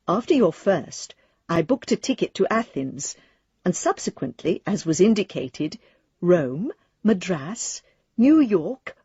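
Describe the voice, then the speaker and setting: A woman's voice: medium pitch, mellow, husky, no echo, muffled recording. An actress playing a genteel, politely fussy English lady reading her letter in a radio drama, prim and wordy in manner.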